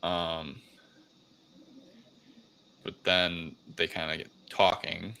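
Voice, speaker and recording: A man's voice: deep voice, flat delivery, very slow, creaky, bright, forceful tone, a little hiss or hum. A man co-hosting a podcast, recapping a movie in casual chat. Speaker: podcast co-host.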